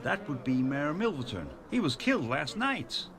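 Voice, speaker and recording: An actor playing a laid-back Old West sheriff who speaks in folksy, easygoing terms. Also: male voice, on the high side, big pitch swings, normal speed, fairly bright tone. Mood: friendly. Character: easygoing frontier sheriff, adult man.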